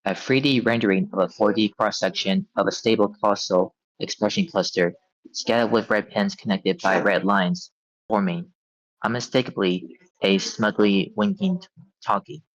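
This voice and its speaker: Low-voiced male narrator, dull recording. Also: normal speed. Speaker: narrator reading stage directions. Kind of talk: scripted narration at a live table read. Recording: dull, lo-fi audio.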